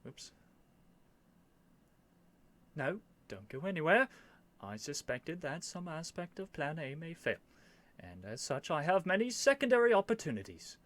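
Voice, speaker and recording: A man's voice: mid-range voice, fairly bright tone. A man playing a young royal prince in a scripted ASMR roleplay, earnest and lovestruck, speaking in formal, courtly phrases with haughty airs and flustered hesitations. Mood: flustered, defiant. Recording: faint background noise.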